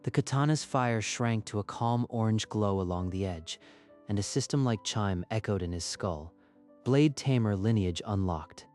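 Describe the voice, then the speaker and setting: Lowish-voiced man narrating dramatically. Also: moderate pace, a little mellow, soft background music. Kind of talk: dramatic scripted story narration.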